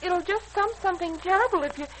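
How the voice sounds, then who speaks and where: An actress playing a sweet, anxious young daughter in a 1940s radio serial, pleading and solicitous. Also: female voice, very high voice, quick pace. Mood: anxious, affectionate. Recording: noisy old 1940s radio recording.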